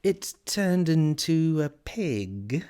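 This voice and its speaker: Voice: medium pitch, very animated, measured pace, voice pushed up. A timid little storybook girl voiced by a narrator, polite and puzzled. The voice lifts above the reader's own and moves up and down, with hesitant, questioning phrasing. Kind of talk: storybook character voice.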